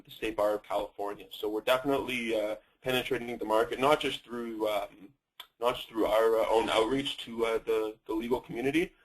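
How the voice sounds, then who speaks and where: A man's voice: on the low side, husky, poor audio. A man with a Canadian accent presenting a company in a webinar, talking with many fillers and restarts.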